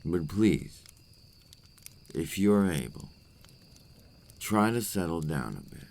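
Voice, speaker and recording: A man playing a brooding, remorseful male vampire in an intimate ASMR roleplay, speaking in a deep, gravelly voice with slow, formal phrasing. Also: expressive, darkish tone, full character voice. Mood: gentle, reassuring. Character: remorseful adult male vampire, brooding and tormented by his curse.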